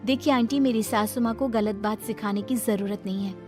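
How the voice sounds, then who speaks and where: A woman voicing a kind, long-suffering young wife in a cartoon story, high and earnest. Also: a little fast, over music, lightly acted.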